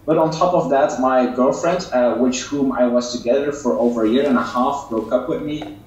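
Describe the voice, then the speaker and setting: A man's voice: medium pitch, normal speed, darkish tone, muffled recording. A young man from West Flanders with a Flemish accent, a Dutch native speaker, answering interview questions politely with hesitant fillers. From West Flanders, Belgium. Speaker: interviewed YouTuber. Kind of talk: casual interview over a call. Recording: distant echoey call mic.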